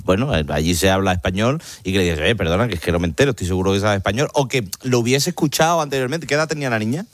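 A man hosting a radio phone-in, bantering quickly and joking with callers. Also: on the low side, no echo. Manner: lively, quick, jokey banter. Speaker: radio host.

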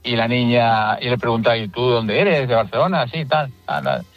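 A man's voice: medium pitch, expressive, normal speed, lo-fi sound, faint music behind. Easygoing male radio caller. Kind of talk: radio phone-in anecdote.

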